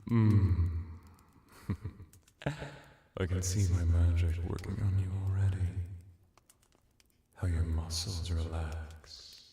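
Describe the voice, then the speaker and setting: Man acting a slow, deep, domineering vampire hypnotist. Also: steady tone, mellow, breathy edge, no echo, heavily acted. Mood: seductive, hypnotic. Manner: slow, purring, deliberate, with murmurs and whispers. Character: seductive, domineering, menacing male vampire necromancer hypnotist. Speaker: ASMR roleplay performer. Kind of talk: ASMR hypnosis roleplay, intimate monologue.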